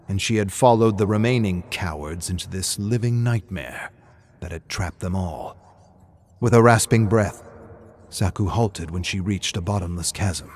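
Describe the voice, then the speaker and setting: A man's voice: lowish voice, slight background noise. A man reading a scripted story aloud as a narrator, with theatrical, suspenseful delivery. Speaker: narrator reading game lore.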